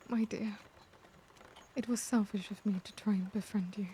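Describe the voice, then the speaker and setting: A woman acting as an anguished, caring vampire lady in an ASMR roleplay, speaking formally and tenderly in hesitant, breathy half-whispers. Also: medium pitch, fairly even intonation, measured pace, dark tone, slight background noise, close to an everyday voice. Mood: sorrowful, pleading. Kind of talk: ASMR roleplay, intimate dramatic monologue.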